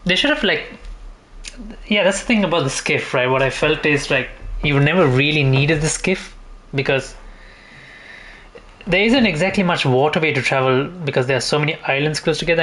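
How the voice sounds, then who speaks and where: A man's voice: fairly high pitch, a bit bright. A man giving casual gameplay commentary, rambling as he thinks aloud. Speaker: video game streamer. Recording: faint game noise behind the voice. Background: game ambience.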